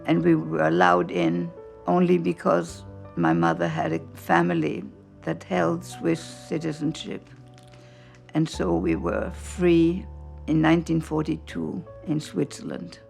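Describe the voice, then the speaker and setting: A woman's voice: deep voice, very animated, creaky, darkish tone. An older woman from Austria recounting her memories in a reflective documentary interview, with faint music behind her. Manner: slow, reflective storytelling.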